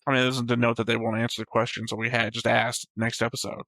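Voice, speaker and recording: A man's voice: mid-range voice, flat delivery, fast talker, creaky, fairly bright tone, no room sound. A man co-hosting a reaction show, picking apart points in casual debate with a precise, argumentative manner. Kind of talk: casual debate about an animated series.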